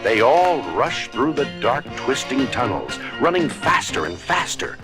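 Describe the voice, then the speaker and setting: A man narrating a scripted children's story in a dramatic, deliberate storybook style. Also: mid-range voice, very animated, over music, poor audio.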